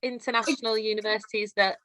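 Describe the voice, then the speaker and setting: A woman's voice: mid-range voice, fairly even intonation, quick pace, pushed and bright, no echo, rough recording. A woman co-hosting a podcast, chiming in with short eager remarks and questions. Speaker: podcast co-host. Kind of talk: casual interview chat.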